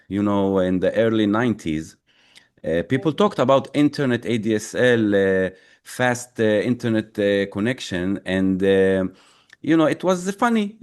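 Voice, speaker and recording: A man who is a native Arabic speaker, answering interview questions as a crypto educator, haltingly searching for words. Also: on the low side, a little mellow. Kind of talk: interview answers, personal story.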